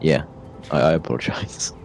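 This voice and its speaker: Very deep-voiced man as game master. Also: steady tone, moderate pace, vocal fry. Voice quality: low voice. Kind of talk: tabletop game narration and casual chat. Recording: soft video game music behind. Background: soft video game music.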